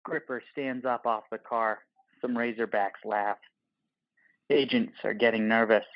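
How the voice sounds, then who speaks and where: A man's voice: medium pitch, steady tone, unhurried, dry recording, lo-fi sound. A man narrating a scripted audio drama in the present tense, with terse, clipped phrasing.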